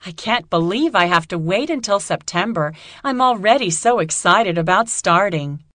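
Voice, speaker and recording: Woman acting as keen young female student, expressive melody, careful diction, interested manner, educational dialogue. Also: medium pitch, normal speed, no echo, close to an everyday voice. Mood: concerned, eager. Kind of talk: scripted exam listening dialogue.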